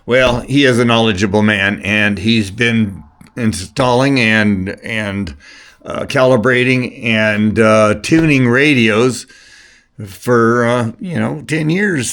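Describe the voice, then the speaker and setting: An older man talking casually and unscripted as a hobbyist showing his gear, rambling and halting, often trailing off mid-thought. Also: mid-range voice, a bit bright. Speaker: CB radio hobbyist. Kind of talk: casual unscripted product talk.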